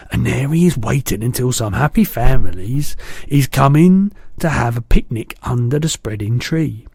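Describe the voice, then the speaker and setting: Guy doing a big friendly giant, booming n eager, kinda husky, jumbled words. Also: dark tone, no room sound, studio quality. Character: big kindly male giant, muddled grammar. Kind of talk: reading a storybook aloud.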